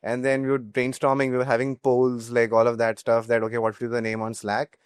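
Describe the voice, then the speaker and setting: A man's voice: mid-range voice, completely flat delivery, brisk, clear, resonant tone, no room sound, clean studio sound. A young man with an Indian accent, a startup founder telling anecdotes casually in an interview. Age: young adult.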